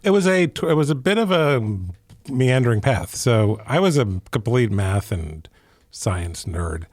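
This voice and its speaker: A man's voice: mid-range voice, lively intonation, a little slow, darkish tone, dry recording, studio quality. A middle-aged man from Ethiopia, a physician turned executive, answering interview questions in a thoughtful, meandering way with frequent restarts. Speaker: physician and business executive guest.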